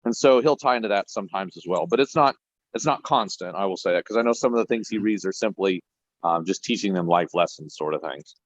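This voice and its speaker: Guy educator on a video call, kinda low voice, halting casual answer, muffled lo-fi sound. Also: no echo. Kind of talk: casual off-the-cuff answer in an online meeting.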